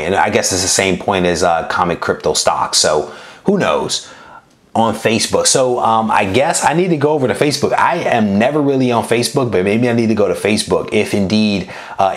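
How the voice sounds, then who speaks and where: A man in his forties hosting a comic collecting livestream, chatting casually and reading viewer comments, relaxed and rambling with many fillers and restarts. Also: lowish voice. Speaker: comic collecting channel host.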